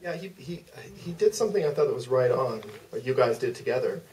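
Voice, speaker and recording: Guy moderating a q&a, thoughtful and halting, sounds far from the mic, faint noise. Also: mid-range voice, animated, darkish tone. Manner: thoughtful, hesitant phrasing. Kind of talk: reflective commentary at a live Q&A. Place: museum theater. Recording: distant, noisy auditorium pickup.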